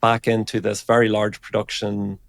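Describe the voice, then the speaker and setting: A man explains technical matters conversationally, with a creaky edge to his voice. He talks in a halting, informal way, working out his thoughts aloud, and the sound is close and dry. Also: on the low side, completely flat delivery, no room sound. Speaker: engineering director, interview guest.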